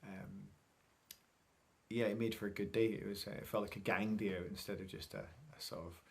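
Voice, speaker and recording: A man's voice: on the low side, steady tone, normal speed, vocal fry. A middle-aged man talking casually to camera in a chatty, rambling, informal vlog style. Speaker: vlogger.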